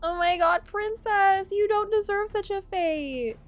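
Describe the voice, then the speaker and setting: A woman's voice: extremely high pitch, unhurried, faint music behind, pitched above the performer's own voice. A woman playing a young lady-in-waiting in a visual novel, wailing in faked terror with melodramatic flair. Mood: mournful. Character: frantic young lady-in-waiting faking terror over an abduction.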